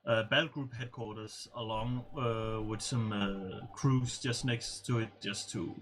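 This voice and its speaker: A man's voice: on the low side, steady tone, measured pace, a bit bright. A man co-casting a strategy game replay, speaking hesitantly with many fillers and chuckling along, on a home microphone. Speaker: game co-caster. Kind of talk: casual strategy game commentary.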